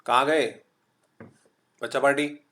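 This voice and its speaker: A man's voice: mid-range voice, fairly even intonation, sharp tone. A man from Maharashtra with a slight Marathi accent, teaching a live online class in a chatty style and mixing in English. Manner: chatty, reads out students' typed replies; urges quick answers, mixes English. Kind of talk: online lecture.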